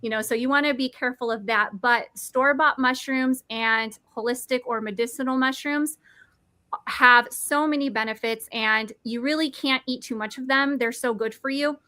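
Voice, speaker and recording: A woman's voice: high-pitched, steady tone, moderate pace, sharp tone, no echo. A woman in her forties enthusiastically explaining mushroom supplements, eager and nerdy, often restarting words. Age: 40s. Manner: eager, nerdy, self-correcting. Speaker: product presenter.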